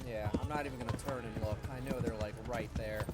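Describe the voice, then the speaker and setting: A man's voice: mid-range voice, fairly even intonation, quick pace, heavy background noise. A man giving casual, sweary and exasperated gameplay commentary as a let's play host. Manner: sweary, exasperated griping at the game. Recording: loud game music behind voice. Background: game music, horse hoofbeats.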